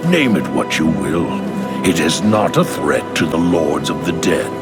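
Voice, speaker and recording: A man's voice: extremely low pitch, expressive. An actor playing an ancient, imperious king of the dead in a video game, haughty, bitter and menacing. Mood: scornful. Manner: slow, haughty, commanding. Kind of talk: dramatic video game acting. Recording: loud background music.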